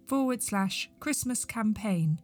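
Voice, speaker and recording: A woman's voice: mid-range voice, expressive, takes it slowly. A woman reading a scripted podcast introduction and announcements as the host.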